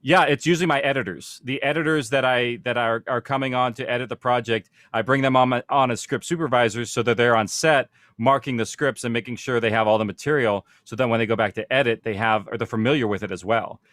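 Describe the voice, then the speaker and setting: A man's voice: mid-range voice, fairly even intonation, fast, few pauses, a bit bright, dry recording, studio quality. A man speaking informally as a panel host, explaining things in a conversational way. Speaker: panel host, audio production producer.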